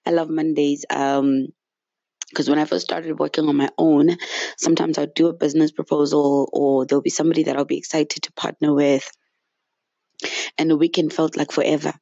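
A woman's voice: low voice, little pitch movement, brisk, vocal fry, warm tone, dry recording, dull audio. A woman vlogging in a chatty, upbeat way, retelling a Bible story with faith references while a bit fluey and short of breath. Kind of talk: casual inspirational talk to camera.

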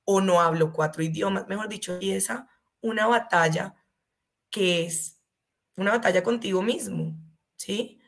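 A woman's voice: mid-range voice, unhurried, gravelly. A woman with a Colombian accent explaining conversationally as an expert guest, emphatic and fond of rhetorical questions.

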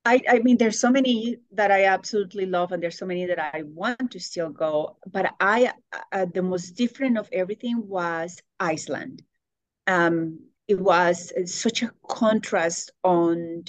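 Woman in her sixties, low, dry. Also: measured pace, dry recording. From Colombia. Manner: warm, searching for words, laughs often. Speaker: guest. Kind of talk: interview answers.

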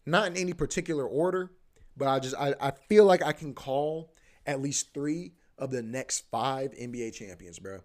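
A man's voice: mid-range voice, normal speed, darkish tone. A man hosting a podcast, rambling casually about sports, repeating himself, swearing and saying "bro" a lot. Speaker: podcast host.

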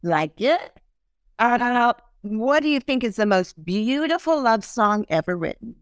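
A woman's voice: fairly high pitch, very animated, unhurried, smooth, no echo, studio quality. A woman hosting a podcast in casual interview chat, talking loosely with many ums and fillers. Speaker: podcast host.

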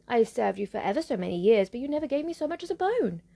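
A woman's voice: on the high side, very animated, fast, vocal fry, dark tone, slightly rough, exaggerated voice. Woman doing a reproachful old guard dog. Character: loyal, put-upon male guard dog.

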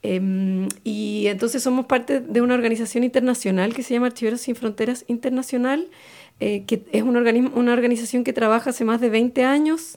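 A woman's voice: a little high, darkish tone. A woman with a Chilean Spanish accent hosting informal radio, speaking unscripted and hesitantly as she searches for words. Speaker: radio host.

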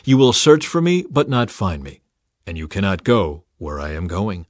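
A man voicing Jesus as a calm, authoritative male teacher who speaks slowly and emphatically. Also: low voice, no room sound, studio quality. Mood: solemn. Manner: earnest, slow and emphatic.

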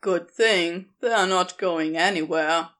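A woman's voice: fairly low pitch, takes it slowly, fairly bright tone, pitched below the performer's own voice. A woman voicing a laid-back, cheeky man with a casual, easygoing drawl in a story reading. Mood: teasing.

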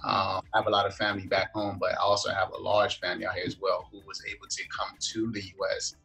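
A man from Liberia who moved to the United States as a child, answering as a podcast guest in a halting, word-searching way. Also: sits low, monotone, vocal fry, pushed and bright, faint music behind, poor audio. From Liberia, moved to the United States at five. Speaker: podcast guest, events entrepreneur. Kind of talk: interview answers.

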